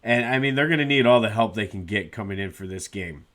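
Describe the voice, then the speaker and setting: A man's voice: on the low side, animated, fast talker, fairly bright tone. A man hosting a solo sports podcast, a bit congested and nasal from a cold, talking casually with lots of "uh" fillers. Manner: conversational, with frequent "uh" fillers.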